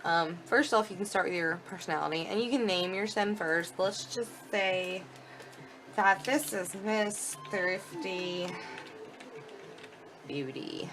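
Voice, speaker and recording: A woman's voice: medium pitch, slow pace, fairly bright tone, soft background music, rough recording. A woman giving casual commentary on a game demo, thinking aloud informally as she goes. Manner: informal, thinking aloud while clicking through. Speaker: gaming video host.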